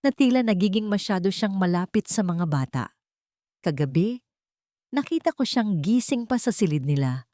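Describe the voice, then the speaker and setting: Woman narrator playing a concerned lady doctor, measured doubtful manner, insinuating, audio drama, dry sound. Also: medium pitch, dry recording, voice pushed up. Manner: measured, doubtful, falsely gentle.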